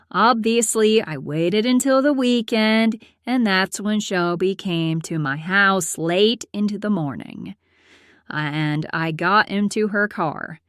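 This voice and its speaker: A woman playing a prim, aloof accountant in her late twenties who narrates her own story in a deadpan, formal way. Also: on the low side, clean tone, dry recording, studio quality. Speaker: host and narrator of a character story series. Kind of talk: scripted first-person storytelling.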